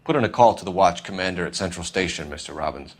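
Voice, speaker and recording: A man's voice: deep voice, fast. An actor playing an earnest police homicide detective in a 1940s crime game, formal and pressing in his questioning. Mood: businesslike.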